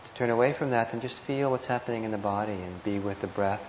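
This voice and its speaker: A man teaching meditation, giving guided instructions with gentle, hesitant phrasing and pauses. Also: on the low side. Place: indoor meditation hall. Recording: muffled, roomy live talk with noise.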